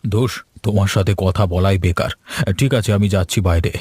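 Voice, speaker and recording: Cartoon role: male actor, weary, placating grown son, soft mellow delivery. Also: low-pitched, brisk, slightly rough, no echo. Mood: resigned. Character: put-upon grown son placating his demanding mother. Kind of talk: cartoon story dialogue.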